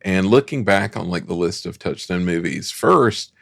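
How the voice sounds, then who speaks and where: A man chatting casually as a podcast panelist, haltingly thinking aloud. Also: low-pitched, animated, creaky, no room sound, clean studio sound.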